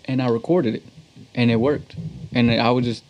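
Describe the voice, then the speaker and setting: A man's voice: medium pitch, fairly even intonation, normal speed, darkish tone, lo-fi sound. Enthusiastic American man, slangy talker. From Texas, United States. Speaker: guest, musician. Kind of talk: casual interview chat.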